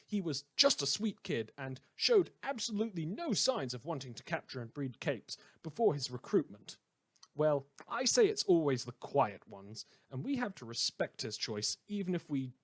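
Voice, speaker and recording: A man playing a chatty, blithely amoral small-town radio host who rambles and corrects himself through a satirical news broadcast. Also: on the high side. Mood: wry, flippant. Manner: rambling, cheerfully flippant, self-correcting.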